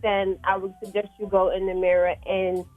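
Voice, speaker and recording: A woman's voice: medium pitch, level tone, moderate pace, no echo, phone-quality sound. A woman with a Trinidadian accent chatting casually as an interview guest, hesitant and often starting over. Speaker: guest, fashion model. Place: radio studio. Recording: music playing behind. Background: music.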